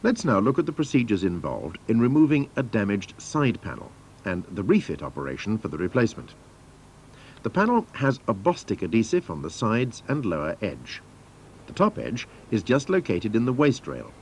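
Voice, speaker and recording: A man's voice: lowish voice, very animated, moderate pace, a little mellow, a little hiss or hum. A man narrating scripted instructions for a training film in a formal, methodical manner.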